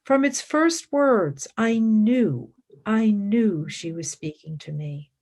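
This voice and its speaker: A woman's voice: medium pitch, expressive, slow, darkish tone. An actress playing a fervent, devout woman philosopher and mystic in a radio play, speaking in intense, formal, reverent declamation. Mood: calm, resolute. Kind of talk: radio play acting, monologue and dialogue.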